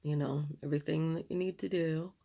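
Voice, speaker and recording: A middle-aged woman with a raspy voice, chatting candidly while doing her makeup, in a slow, rambling manner. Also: low-pitched, steady tone, dark tone. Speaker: beauty vlogger.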